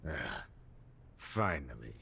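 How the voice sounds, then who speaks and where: A man playing a menacing, sardonic Soviet interrogator in a video game scene, slow and coolly threatening. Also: a bass voice, very animated, creaky, full character voice. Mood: cold menace. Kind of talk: video game cinematic acting. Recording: loud noise and ambience behind.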